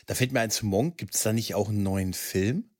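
A man's voice: on the low side, brisk, darkish tone. A man co-hosting a podcast in casual, colloquial chat, often seeking agreement with little tag questions. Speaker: podcast co-host.

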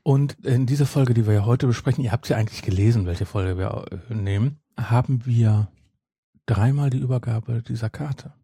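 A man. His voice is mellow and somewhat rough, recorded dry with no room sound. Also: mid-range voice, moderate pace, no echo. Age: 50s. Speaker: podcast co-host. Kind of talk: casual chat about audio dramas.

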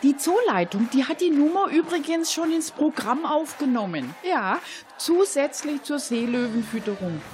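A woman's voice: sits high, expressive, moderate pace, darkish tone. A woman playing a chatty, gleeful amateur detective, talking colloquially in a radio crime play. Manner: colloquial, gossipy, with folksy tag questions.

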